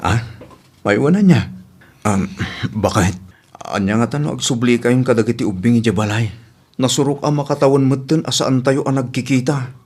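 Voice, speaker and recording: A man's voice: mid-range voice, normal speed, darkish tone, slightly rough, close to an everyday voice. A man playing a hapless, pleading estranged husband in a radio comedy drama, insistent and whiny, with an Ilocano accent. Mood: sheepish.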